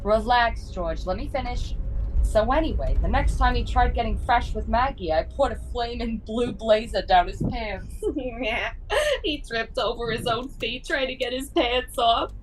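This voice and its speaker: A woman playing a brash, excitable, slangy gal in an old-time radio comedy. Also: on the high side, a little slow, noisy background, lightly acted. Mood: boastful. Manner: slangy, streetwise, ungrammatical talk. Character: brash, excitable gal in a scrappy road-trip gang.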